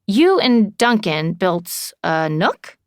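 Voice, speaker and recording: A woman's voice: medium pitch, sing-song, takes it slowly, smooth, no room sound, studio quality. A woman voicing an exasperated, sardonic adult woman in audiobook dialogue, wry and indignant. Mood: surprised, awkward.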